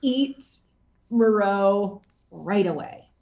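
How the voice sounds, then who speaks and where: A woman giving a casual interview as an actor, talking in an animated, playful way. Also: mid-range voice, drawn-out words, reverberant. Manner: animated, playful, acts out lines as she talks.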